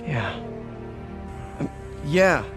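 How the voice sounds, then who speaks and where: A man's voice: a little high, sing-song, very slow, music in the background, heavily acted. A man playing an eager, awkward, good-hearted young superhero in a video game, with a casual, earnest, boyish delivery. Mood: nervous. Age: young adult.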